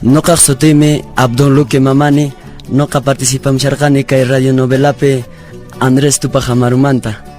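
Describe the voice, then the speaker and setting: Andean peruvian guy introducing himself, very even flat tone, bit of music. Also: medium pitch, normal speed. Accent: southern Peruvian Andean. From Carabaya, Peru. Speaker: radio drama actor. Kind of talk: self-introduction to audience.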